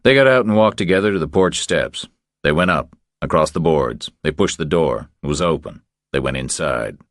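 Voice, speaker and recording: A man's voice: extremely low pitch, animated, normal speed, dry recording, studio quality. A man reading a scripted thriller narration as an audiobook narrator.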